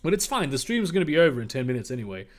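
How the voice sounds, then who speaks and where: A man's voice: fairly high pitch, big pitch swings, very fast. A young German man reading viewer questions aloud on a livestream and answering them in casual, rambling, profane chat.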